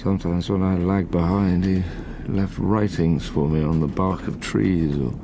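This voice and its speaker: An actor playing a weary, dying, tormented scientist in a gothic audio drama, speaking gravely and haltingly. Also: male voice, deep voice, steady tone. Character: weary, dying, tormented male scientist warning against ambition. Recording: clear background ambience noise.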